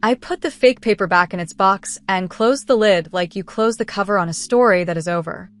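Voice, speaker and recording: A woman reading a scripted first-person story in a measured, earnest, literary storytelling manner. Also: medium pitch. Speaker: narrator.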